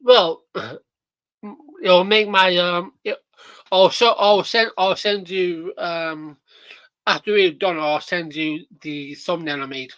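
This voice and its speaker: Podcast host, slow, bright, rambling, profane, many fillers. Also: mid-range voice, steady tone, no echo. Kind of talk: casual reaction chat.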